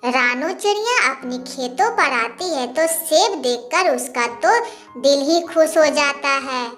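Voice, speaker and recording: A woman's voice: high-pitched, expressive, a little slow, fairly bright tone, faint music behind. A woman storyteller reading scripted narration for a children's moral story.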